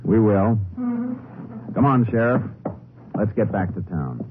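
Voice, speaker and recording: An actor playing a steady, businesslike Texas Ranger with an American Western accent, speaking laconically in a radio western. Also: male voice, on the low side, takes it slowly, heavily acted. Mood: brisk, determined. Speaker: lead actor and narrator. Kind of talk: 1950s radio western acting. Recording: muffled old radio, music behind.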